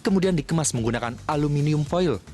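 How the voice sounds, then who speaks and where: A man's voice: a little high, lively intonation, fast, darkish tone. Guy narrating a food feature for tv news, chatty and playful, music behind. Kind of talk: scripted feature narration with casual slang.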